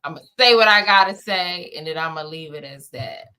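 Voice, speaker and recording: A woman's voice: low-pitched, normal speed, pushed and bright, clear, resonant tone. A woman from Washington, D.C., chatting casually as an interviewed playwright, in an emphatic, rambling conversational way. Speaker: playwright and director being interviewed.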